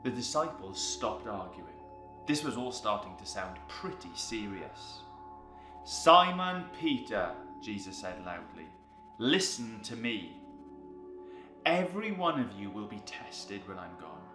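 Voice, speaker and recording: Male children's storyteller. Lowish, unhurried, roomy echo with clear music behind. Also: big pitch swings. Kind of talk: expressive children's story reading. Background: instrumental music.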